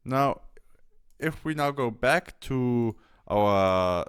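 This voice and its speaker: A man presenting a software tutorial step by step, thinking aloud a little hesitantly as he demonstrates. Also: mid-range voice, animated, very slow, clear, resonant tone.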